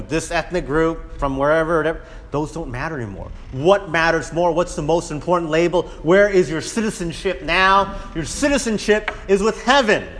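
A man's voice: a little high, a bit bright. A man preaching a sermon as a pastor in a conversational way, often checking in with listeners by asking "right?". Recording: long hall reverb.

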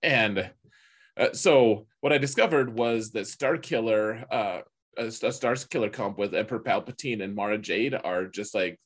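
A man with a fairly low, husky voice talks casually as a gaming podcast co-host. His phrasing is colloquial and opinionated, like relaxed shop talk between players. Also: normal speed. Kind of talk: casual chat about a mobile game.

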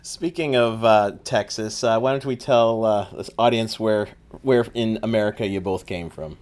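A man's voice: fairly low pitch, normal speed, darkish tone. A man hosting a casual interview podcast, joking along and often repeating words as he goes. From Canada. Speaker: podcast host.

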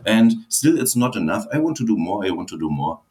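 A German man speaks English as a second language, with a clear German accent. He tells his story earnestly in simple sentences, often saying a phrase twice for emphasis. His voice sits somewhat low. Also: very animated, fairly quick, a little mellow, a little hiss or hum. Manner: earnest storyteller, repeats phrases, non-native grammar. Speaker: guest, online seller. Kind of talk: casual interview answers.

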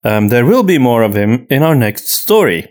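A man hosting a podcast in casual conversation, explaining things in a measured, reflective way with hesitant pauses. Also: mid-range voice, big pitch swings, a little mellow. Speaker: podcast host.

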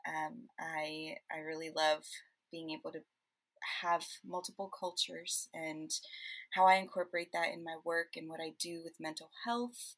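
A woman's voice: sits low, level tone, normal speed, a bit bright. A woman from Honduras, a counselor, speaking casually as a podcast guest introducing herself.

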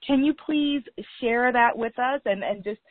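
A woman's voice: on the high side, no echo. Woman with a Guyanese American accent. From United States. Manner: reflective, repeats words, slows for emphasis. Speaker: podcast host. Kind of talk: warm interview chat. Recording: tinny phone-like line, dry.

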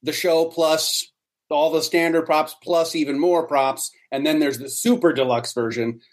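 Higher-pitched man, casual interview guest. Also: fairly even intonation, a little slow.

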